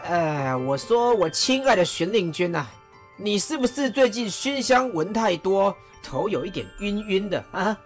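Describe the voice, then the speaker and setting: A woman's voice: medium pitch, lively intonation, a little slow, soft background music, close to an everyday voice. Woman playing a teasing, playful warlord lord. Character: curious joking male warlord lord.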